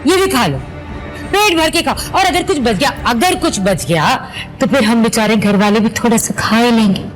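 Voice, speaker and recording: A woman's voice: fairly high pitch, animated, moderate pace, faint music behind, lightly acted, low-quality recording. A woman playing a sarcastic, money-grubbing middle-aged stepmother who scolds theatrically in an audio serial melodrama. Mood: mocking sarcasm.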